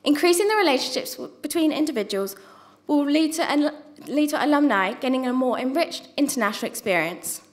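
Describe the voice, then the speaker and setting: A young woman gives a prepared student speech from her notes, speaking earnestly and stumbling now and then on a word before restarting. Her voice sits high. She speaks into a microphone on a stand in a university lecture theatre. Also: animated, a little fast, few pauses. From not from New Zealand. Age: young adult. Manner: earnest, with small restarts on words. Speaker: student presenter.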